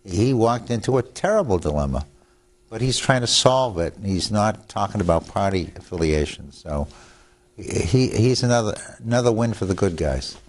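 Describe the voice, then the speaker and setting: A man's voice: fairly low pitch, expressive, moderate pace. An older man, a lawyer whose first language is Italian, talking in a studio interview with restarts and chuckles. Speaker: lawyer guest. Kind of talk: studio talk show interview.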